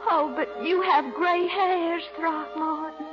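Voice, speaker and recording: An actress playing a coy, gushing, flirtatious sweetheart in melodramatic 1940s radio comedy style. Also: female voice, very high voice, steady tone, takes it slowly. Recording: dull old radio recording, music behind.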